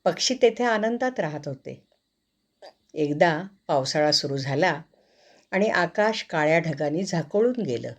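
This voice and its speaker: A woman's voice: sits low, animated, unhurried. A woman storyteller reading a scripted moral tale for children.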